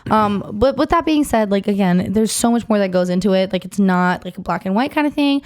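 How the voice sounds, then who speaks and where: A young adult woman co-hosting a casual podcast, talking in an emphatic, animated way with plenty of fillers. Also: medium pitch, fairly quick. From United States. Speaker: podcast co-host. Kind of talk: casual chat.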